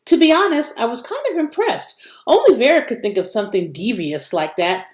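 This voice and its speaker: A woman narrating a children's novel as an expressive read-aloud in an echoey room. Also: on the high side, brisk.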